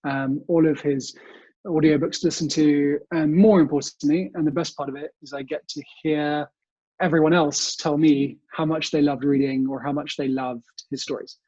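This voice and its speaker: A man's voice: on the high side, steady tone, normal speed, darkish tone, slightly rough, dry recording, highs cut off. A young British man speaking politely as an interview guest, telling family memories in a conversational way. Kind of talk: conversational interview answers, reminiscing. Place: remote recording from home.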